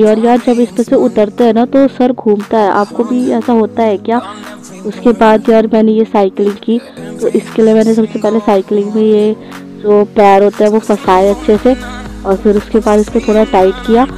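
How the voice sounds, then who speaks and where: A woman's voice: mid-range voice, warm tone. A woman, giving a casual, chatty voice-over for a daily vlog. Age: 20s. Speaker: vlogger. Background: faint music.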